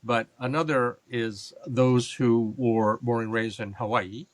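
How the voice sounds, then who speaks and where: Measured American man, close dry recording. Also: medium pitch, steady tone, no room sound. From Japan and California. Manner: measured, halting, explanatory. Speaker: interview guest, government and business leader. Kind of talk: reflective interview answers.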